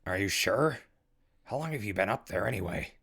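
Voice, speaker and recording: A man's voice: lowish voice, animated, a little fast, vocal fry, a bit bright, husky, exaggerated voice. A man playing a wry, weary wasteland survivor who runs a radio station, speaking casually and hesitantly in an audio drama. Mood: weary, matter-of-fact. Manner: casual, hesitant, dryly sarcastic. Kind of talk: naturalistic audio drama acting.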